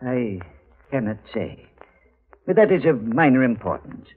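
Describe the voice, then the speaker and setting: Earnest, husky old scholarly librarian. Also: male voice, medium pitch, very animated. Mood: excited, earnest. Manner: formal, precise, halting, bookish diction. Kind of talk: 1940s radio drama acting. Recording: muffled vintage radio recording.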